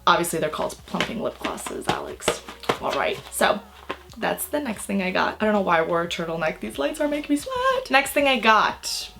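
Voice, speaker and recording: A young woman vlogging about makeup she bought, her voice raspy and hoarse from a cold, chatting in a bubbly, rambling way. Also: mid-range voice, huge pitch swings, moderate pace, background noise. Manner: bubbly, rambling, lots of "like" and "really, really". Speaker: beauty vlogger. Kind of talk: casual chatty haul video. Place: indoors under filming lights.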